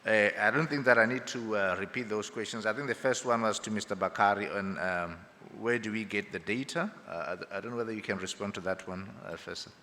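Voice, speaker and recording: A man moderating a conference panel, politely and somewhat haltingly handing over questions in a big reverberant hall. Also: lowish voice, pushed and bright, a little hiss or hum. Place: large conference hall, through PA.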